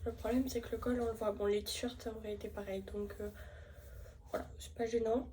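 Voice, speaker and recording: A woman's voice: fairly high pitch, level tone, brisk, mellow. A young woman with a French accent chatting casually in a travel vlog, rambling with fillers and stuffed up with a cold. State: has a head cold. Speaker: vlogger. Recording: noisy ambience, distant from mic.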